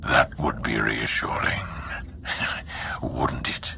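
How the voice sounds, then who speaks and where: Commanding Sith warlord, gravel-throated, intensely sharp tone, deliberate, solemn, video game cutscene. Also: male voice, fairly even intonation, a little hiss or hum, heavily acted. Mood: measured doubt. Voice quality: very deep, gravelly.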